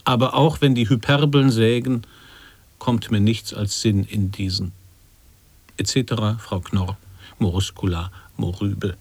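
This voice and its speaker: Earnest lowish-voiced man nonsense lecture. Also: fairly even intonation, measured pace, darkish tone, husky, no room sound. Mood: earnest, declamatory. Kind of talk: avant-garde radio play monologue of sound poetry.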